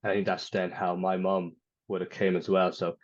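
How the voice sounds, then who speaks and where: A man's voice: deep voice, completely flat delivery, moderate pace. An Irish man in his twenties, a youth leader, giving casual interview answers. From Ireland. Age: 20s. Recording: roomy, slightly dull lo-fi sound.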